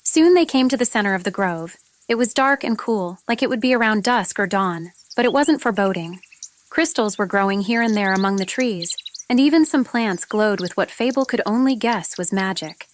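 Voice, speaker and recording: A woman's voice: mid-range voice, lively intonation, normal speed. A woman narrating a fantasy story from a script, as an audiobook reader. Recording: noticeable background noise with clicks, insect-like sounds.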